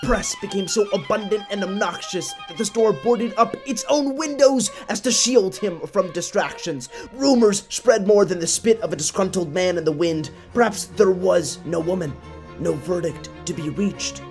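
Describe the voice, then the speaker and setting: A man with a somewhat high-pitched voice tells a scripted story as a narrator. His delivery is theatrical and solemn, with a literary feel. Violin music plays faintly underneath. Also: moderate pace. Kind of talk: scripted dramatic storytelling for a puppet show.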